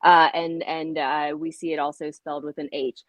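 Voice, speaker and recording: A woman co-hosting a casual livestream, rambling and trailing off into soft asides. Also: deep voice, little pitch movement, moderate pace, no room sound. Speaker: podcast co-host.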